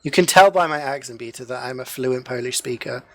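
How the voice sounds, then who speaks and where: A man's voice: mid-range voice, brisk, a little hiss or hum. Chatty male esports caster. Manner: chatty, heavy use of "you know" fillers, joking. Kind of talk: casual live game commentary.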